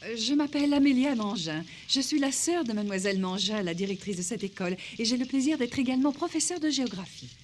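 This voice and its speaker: A woman's voice: on the high side, lively intonation, fast talker, hardly any pauses, darkish tone, slight background noise, natural voice. A woman playing a polite, eager schoolmistress in a cartoon, with a courteous, effusive manner. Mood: courteous, welcoming. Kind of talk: cartoon dub acting.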